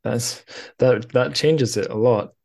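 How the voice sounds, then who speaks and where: A man's voice: medium pitch, slow, gravelly, a little mellow. A man hosting a casual book conversation, speaking informally and piecing his thoughts together as he goes. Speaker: podcast host. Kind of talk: casual conversational literary discussion.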